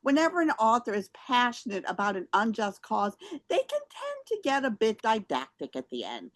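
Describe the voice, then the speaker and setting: A woman's voice: on the high side, huge pitch swings, moderate pace. A woman reading out theater reviews as a show host. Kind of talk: scripted review read aloud.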